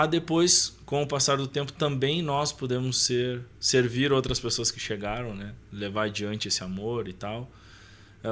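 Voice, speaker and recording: A man's voice: lowish voice, a bit bright. A man in his thirties with a Brazilian accent, telling personal stories casually as a podcast guest in a colloquial, slangy way. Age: 30s. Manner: colloquial, slangy, often seeking agreement with short tags.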